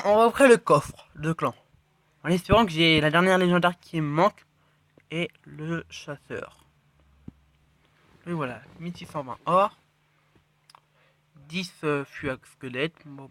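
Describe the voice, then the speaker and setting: Man with a cold, slightly high voice, dry close sound, rambling excited game commentary. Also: dry recording. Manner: rambling, excitable, self-correcting, drops to mutters. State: has a cold. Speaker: mobile game channel host. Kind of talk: casual gameplay commentary.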